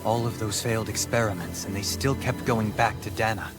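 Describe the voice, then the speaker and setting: An actor voicing a composed, scholarly nobleman in a game cutscene, speaking in a measured, formal, analytical way. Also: on the low side, fairly even intonation, lightly acted. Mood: grave, analytical. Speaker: video game character voice actor. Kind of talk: dramatic game cutscene dialogue. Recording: music behind the voice. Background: dramatic game music.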